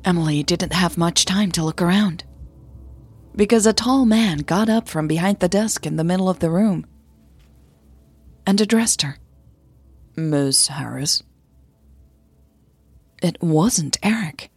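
A woman's voice: lowish voice, moderate pace, husky, no room sound, faint music behind. A woman reading scripted audio drama narration as a podcast host and narrator.

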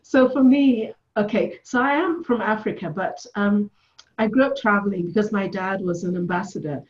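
Woman coach guest from Ethiopia, soft-spoken and reflective, mid pitch, dull recording. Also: normal speed. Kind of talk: conversational interview.

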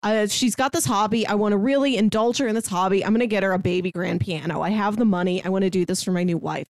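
A woman's voice: mid-range voice, fast, darkish tone, no echo. A woman co-hosting a podcast, casually discussing film and retelling things by acting out imagined lines. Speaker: podcast co-host.

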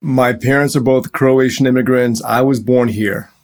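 A man from Ohio answers interview questions in a calm, measured way. He sounds reflective and unhurried, with a plain mid-range voice. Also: mid-range voice. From Cleveland, Ohio suburb. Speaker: interview guest.

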